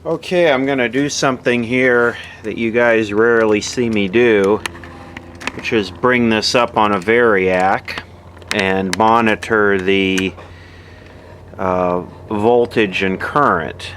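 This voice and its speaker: A man narrating a casual, unscripted hands-on repair demonstration in a matter-of-fact, halting way, as host of his own video. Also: fairly low pitch, a bit bright. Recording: clearly audible background noise.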